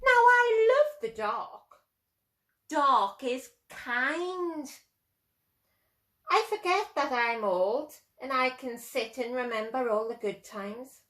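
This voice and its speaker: A woman's voice: sits high, animated, slow, a bit bright, roomy sound, full character voice. A woman voicing a kindly, wistful little old lady with a musing, genteel manner in a storybook.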